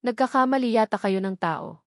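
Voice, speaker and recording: A woman with a Filipino accent voicing a guarded, weary mother who speaks calmly, firmly and with cold politeness in an audiobook. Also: a little high, brisk, no echo, studio quality, close to an everyday voice. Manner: calm but firm, coldly polite. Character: guarded, weary young mother denying her past.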